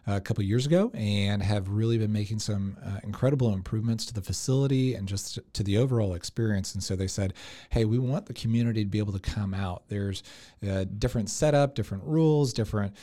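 Man, lowish pitch, very mellow relaxed tone, interview guest, casual chat, upbeat and promotional. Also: fast. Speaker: interview guest, sports commission executive director.

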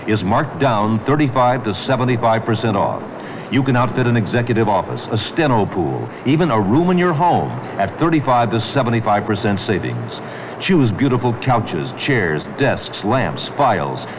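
A man reading a 1970s radio commercial like a salesman reeling off bargains. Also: mid-range voice. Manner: brisk salesman listing bargains. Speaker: radio ad announcer. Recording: lo-fi radio broadcast with loud noise.

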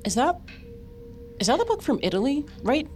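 A woman's voice: high-pitched, big pitch swings, normal speed, a little mellow, soft background music. An actress playing a skeptical, wry woman investigating the occult, talking casually and a little hesitantly in a naturalistic audio drama. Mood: hesitant, doubtful. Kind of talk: naturalistic audio fiction acting.

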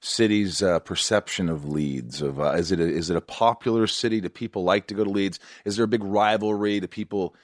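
An American man hosting a podcast, firing off eager questions with crude jokes thrown in. His voice sits fairly low. The close mic keeps the sound dry and free of room. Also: brisk, few pauses, no room sound. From United States. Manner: jokey, swearing, eager questions. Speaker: podcast host. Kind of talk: casual interview chat.

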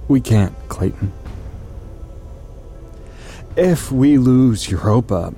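An actor playing a nervy male official in an audio drama, coaxing and evasive, speaking haltingly and earnestly. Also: medium pitch, animated, dark tone. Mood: pleading. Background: music.

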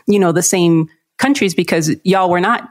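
Warm, affirming woman podcast host. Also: on the low side, normal speed. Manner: warm, affirming, many fillers and restarts. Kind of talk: conversational interview.

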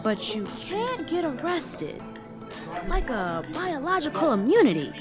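An actress playing a brash, persuasive American hustler in an audio buddy comedy, with slangy, swaggering, teasing talk. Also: female voice, high-pitched, sing-song, a little slow, music in the background. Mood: persuasive, cocky. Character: brash, persuasive woman hustler urging friend into crime.

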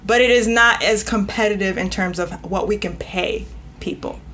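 A woman's voice: mid-range voice, normal speed, fairly bright tone, a little hiss or hum. A woman guest editor talking frankly and at length in a casual podcast conversation, trailing off and restarting mid-thought.

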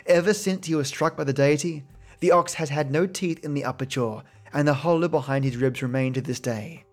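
A man's voice: on the high side, fairly quick. A man steadily reading folk tales aloud as a podcast host. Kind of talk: storytelling narration.

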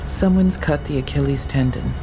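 An actress playing a matter-of-fact police officer briefing a colleague in an audio drama. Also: female voice, sits low, moderate pace, loud music behind the voice, lightly acted, rough recording. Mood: intent, factual.

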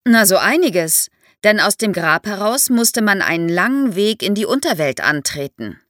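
Female expert guest for an explainer podcast, lively intonation, vivid storytelling manner, very dry studio sound. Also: mid-range voice, normal speed, dry recording. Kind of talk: explanatory podcast interview for young listeners.

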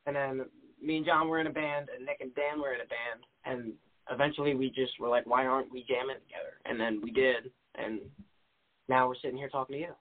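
Young male rock guitarist, 20, relaxed and chatty, tinny phone-line sound. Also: medium pitch, brisk. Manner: laid-back, chatty, laughs easily. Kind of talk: casual interview answers.

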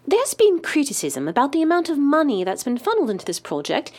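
A woman's voice: sits high, wildly swooping pitch, quick pace, a little mellow. A woman playing a probing news reporter in an audio drama interview, polite but pointed and pressing.

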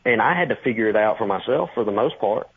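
Brief: twenties man, husky voice, rambling call-in over a phone line. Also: lowish voice, expressive, quick pace, no echo. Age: 20s. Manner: casual, rambling, many fillers. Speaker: caller, high school teacher. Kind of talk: phone-in conversation.

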